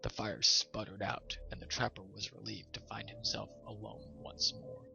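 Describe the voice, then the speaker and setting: A man's voice: on the low side, big pitch swings, moderate pace, gravelly. A man hosting a storytime show, reading and presenting to listeners as the narrator. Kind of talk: scripted ghost story narration. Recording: slightly dull sound, music behind. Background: background music.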